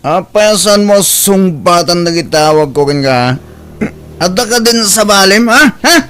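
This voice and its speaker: A man's voice: sits high, lively intonation, a little slow, fairly bright tone, slight background noise. A man with an Ilocano accent playing a belligerent, abusive husband in an audio drama, confrontational and taunting. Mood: demanding, angry. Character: belligerent, abusive husband who bullies his wife.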